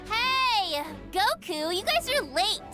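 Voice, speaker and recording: A woman voicing a bossy, energetic, inquisitive girl in an anime video game, brash and slangy. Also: extremely high pitch, slow, over music, exaggerated voice. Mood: scolding.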